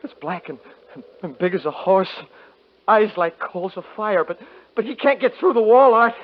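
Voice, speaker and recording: An actor playing a frightened young hunter who also narrates, in a 1950s radio drama, stammering and panicky. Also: male voice, high-pitched, animated, moderate pace, a little hiss or hum. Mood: pleading, terrified. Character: lone young male hunter, frightened, haunted. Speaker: narrator and lead character. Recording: dull old radio broadcast.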